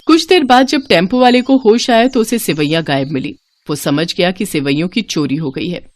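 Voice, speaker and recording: A woman's voice: on the low side, lively intonation, normal speed. A woman narrating a scripted moral story as a storyteller.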